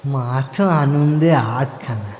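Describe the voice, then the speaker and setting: A man's voice: medium pitch, takes it slowly, a little mellow, muffled recording, a little hiss or hum. A young man narrating a first-person audio story, chatting to the listener with fond asides. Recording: echoey room with long reverb.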